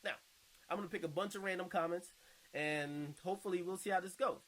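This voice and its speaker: Male online host, slightly high voice, informal and slangy, comfortable swearing, off-the-cuff commentary reading. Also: brisk, fairly bright tone. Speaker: online video host and podcaster. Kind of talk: casual commentary, reading and reacting to viewer comments.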